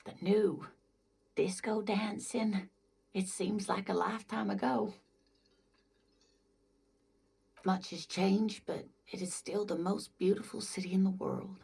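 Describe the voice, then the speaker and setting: A woman voicing a kindly, scatterbrained older married woman in a video game, gentle and doting, with music behind her. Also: medium pitch, steady tone, unhurried, vocal fry, slightly rough, full character voice. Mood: gentle, nostalgic. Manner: gentle, doting, hesitant, calls listener dear. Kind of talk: video game dialogue acting.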